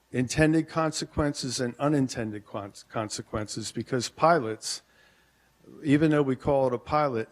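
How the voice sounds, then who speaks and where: Male business owner, public comment; plainspoken, halting, cautious, podium mic in council chamber. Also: medium pitch. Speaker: merchants association president speaking at public comment.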